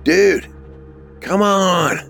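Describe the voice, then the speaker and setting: A man's voice: low-pitched, sing-song, moderate pace, faint music behind. A man voicing a casual, skeptical older teenage brother in a story, slangy and exasperated. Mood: urgent.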